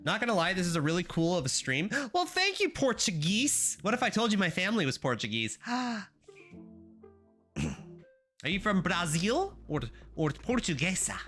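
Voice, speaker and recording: A young man streaming himself coding, chatting casually with viewers, swearing and getting excited as he works. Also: high-pitched, normal speed. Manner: excitable, swearing, talks to chat while coding. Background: faint music.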